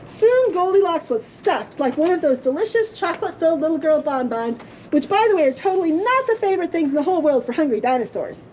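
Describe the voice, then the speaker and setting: A woman storyteller reading a children's story aloud in a playful, theatrical way with drawn-out emphasis. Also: extremely high pitch, sing-song, a little mellow, slight background noise, rough recording. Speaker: librarian storyteller. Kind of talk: expressive children's storybook reading for a puppet show.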